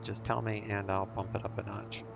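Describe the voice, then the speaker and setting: A man streaming a flight simulator, making radio calls and calmly talking himself through cockpit steps. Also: on the low side, normal speed, vocal fry, lo-fi sound, loud music behind the voice. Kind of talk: casual sim commentary with radio calls.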